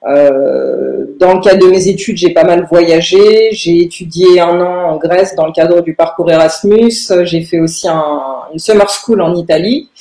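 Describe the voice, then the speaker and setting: A young woman, answering in a podcast interview with halting phrasing and very frequent filled pauses. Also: fairly low pitch, mellow. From Paris, France. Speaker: lawyer, interview guest. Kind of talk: podcast interview answers about own career. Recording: roomy, echoing room sound.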